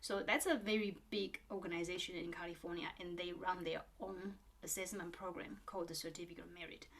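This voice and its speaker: A woman's voice: mid-range voice, a bit bright. Middle-aged woman piano teacher, friendly and explanatory, loose with fillers. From born in Taiwan, grew up in New Zealand. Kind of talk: casual talk to camera answering a viewer question.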